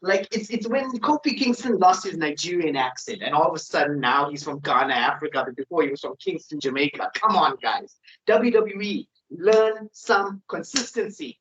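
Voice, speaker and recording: A man's voice: a little high, lively intonation, moderate pace, a bit bright. A man co-hosting a wrestling predictions podcast in casual, opinionated chat. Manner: opinionated, ranting. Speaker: podcast co-host. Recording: dull lo-fi sound.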